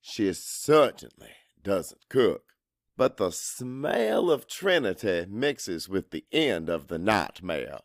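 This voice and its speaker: An actor playing a crude, hot-tempered Cajun father who narrates his own story in a gruff, expressive audiobook style. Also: on the low side, slow, dry recording, studio quality, exaggerated voice. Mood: brooding. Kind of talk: first-person dramatic audiobook narration.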